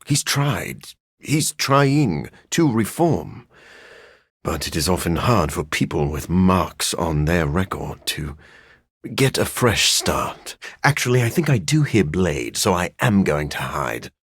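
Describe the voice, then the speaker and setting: Give this game vampire a low, husky male voice. He is a brooding scientist with formal, articulate speech and dry wit. His pitch moves expressively, and the recording is close and dry. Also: slow, dry recording, heavily acted. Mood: somber, reflective. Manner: formal, articulate, dryly deadpan. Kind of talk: video game character acting.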